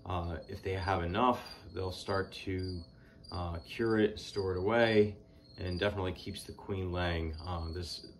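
A man in his forties, a beekeeper giving casual how-to advice in an unhurried, practical way with many "uh" pauses, filmed indoors in a small shed with the camera at desk distance. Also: deep voice, fairly even intonation, a little hiss or hum. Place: indoors, small shed stacked with hive boxes.

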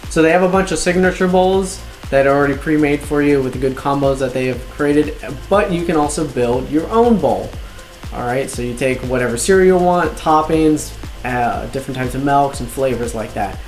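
A young man in his twenties casually reviewing restaurants to camera, relaxed and chatty, with lots of fillers and "all right?" check-ins. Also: mid-range voice. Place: indoors on a couch in a living room. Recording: roomy echo, faint background music.

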